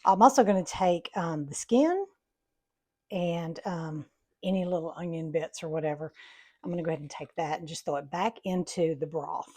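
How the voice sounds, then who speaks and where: Raspy woman demonstrating a recipe. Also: lowish voice, normal speed, warm tone. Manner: chatty, step-by-step explaining. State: raspy from allergies, heavy breathing. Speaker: home cooking channel host. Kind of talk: casual cooking demonstration. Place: home kitchen.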